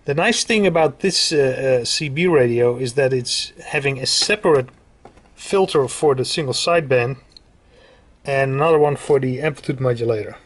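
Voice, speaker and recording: A man with a mid-pitched voice talks through a piece of hobby electronics. He explains it off the cuff, in a halting, hesitant way, like an enthusiast demonstrating his gear. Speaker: radio hobbyist presenter. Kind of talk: unscripted technical demonstration.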